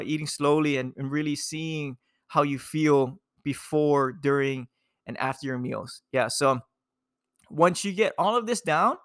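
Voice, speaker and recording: A man's voice: on the high side, a bit bright, clear, resonant tone, no room sound. Laid-back rambling man, dry close sound. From Hawaii. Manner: laid-back, rambling, full of fillers and "dude". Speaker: nutrition coach. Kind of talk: casual talk to viewers.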